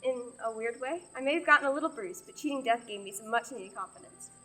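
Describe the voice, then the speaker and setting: Young woman acting high, quick, stubborn adventuring heroine. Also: bright, forceful tone, soft background music. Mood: wry, reflective. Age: young adult. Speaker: student actor. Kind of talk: radio play acting.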